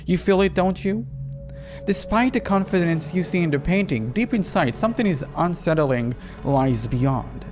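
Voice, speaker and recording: An eerie male art dealer from a horror visual novel, acted out by a man. He speaks in formal, slow, theatrical phrases, reverent and mysterious. Clear music plays behind him. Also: a little high.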